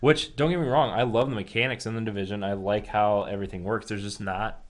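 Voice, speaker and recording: A man's voice: on the low side, steady tone, quick pace. A man co-hosting a gaming podcast in a laid-back, informal way, chatting and reading out listener questions.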